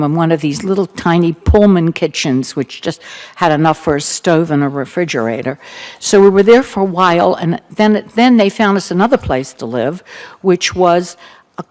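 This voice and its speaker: An older woman with a Baltimore accent, the keynote speaker, recounting her family's past in an earnest, reflective talk with gentle humor. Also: on the low side. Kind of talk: personal testimony talk.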